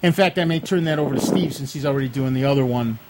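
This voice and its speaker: A man's voice: mid-range voice, fast talker, heavy background noise. A man in his sixties hosting a casual radio talk show in a studio, joking and self-deprecating with his co-hosts and callers. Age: 60s.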